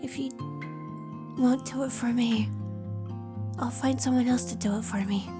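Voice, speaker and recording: Woman acting a high-voiced, stubborn young heroine. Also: steady tone, measured pace, music in the background, natural voice. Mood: devoted, determined. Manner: earnest, light but firm. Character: stubborn, devoted young woman, bold and loving. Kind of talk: fan audio drama acting.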